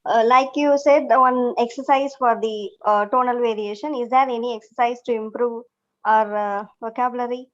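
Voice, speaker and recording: A woman's voice: on the high side, fairly even intonation, normal speed, highs cut off. A woman taking part in a training session, asking and answering questions casually.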